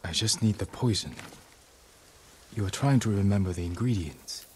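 A man's voice: fairly low pitch, animated, normal speed, a little mellow, slight background noise, natural voice. A man playing a dutiful, protective samurai lord in a video game drama, terse and restrained. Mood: focused. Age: adult.

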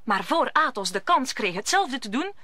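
A woman's voice: fairly high pitch, big pitch swings, moderate pace, sharp tone, dry recording. A woman narrating an audio drama in a scripted storytelling style.

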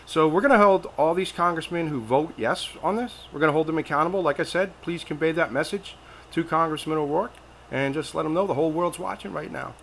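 A man's voice: a little high, brisk, creaky, a bit bright, slight background noise. An American man speaking insistently as an activist caller making a political phone complaint, with some hesitant phrasing.

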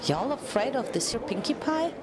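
A woman's voice: a little high, very animated, moderate pace, a crowd in the background. A woman voicing a guarded, rugged cowgirl mare with a folksy drawl in a story reading. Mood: wary.

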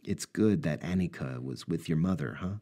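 A man voicing a dogged former police officer turned investigator, probing and dry, close to his own husky voice. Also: very deep voice, normal speed, mellow. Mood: gentle.

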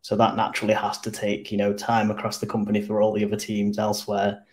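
A man with a lowish voice speaks as a panelist over a video call. His pitch stays flat and even. He talks in a measured way and pauses often on "um". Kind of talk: panel discussion answer.

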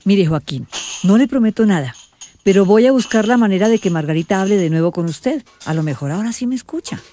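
A woman's voice: medium pitch, lively intonation, brisk, warm tone, a little hiss or hum, lightly acted. An actress playing a concerned, practical mother in a radio drama. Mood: frank, conciliatory.

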